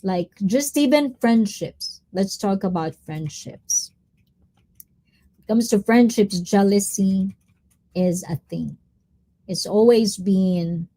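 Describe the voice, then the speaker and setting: A woman's voice: medium pitch, takes it slowly, mellow, poor audio. A woman hosting a live stream, chatting casually, reading viewer comments and mixing in Tagalog words. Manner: chatty, mixes in Tagalog words, drifts between topics.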